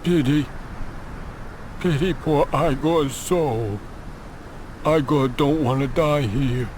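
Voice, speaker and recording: A man plays a timid, simple-minded game character with a childlike, pitiful air. He pleads slowly in short broken phrases at a middling pitch, over clear background noise. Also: darkish tone, close to an everyday voice. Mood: terrified. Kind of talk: video game voice acting.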